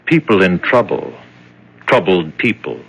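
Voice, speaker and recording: A courteous, forceful-voiced sinister official. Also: male voice, low-pitched, very animated, gravelly, breathy edge, full character voice, rough recording. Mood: smoothly polite. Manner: formal, measured politeness. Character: courteous, composed, quietly sinister male official. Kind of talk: 1970s radio drama acting.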